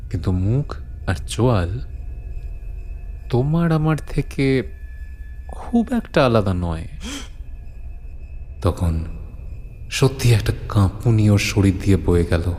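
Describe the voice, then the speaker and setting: A man narrating a horror audio story as a brooding storyteller, deliberate and suspenseful with dramatic pauses. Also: fairly low pitch, a little mellow, a little hiss or hum. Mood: hushed narration. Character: brooding male storyteller recounting eerie legends to his friend.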